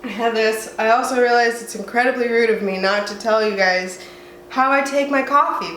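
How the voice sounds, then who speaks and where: A woman's voice: fairly high pitch, fairly bright tone. Sniffly young woman chatting at home. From southwestern United States. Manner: chatty, rambling, self-mocking. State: congested from a cold. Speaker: vlogger. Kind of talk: casual chat. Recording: roomy echo, faint room noise.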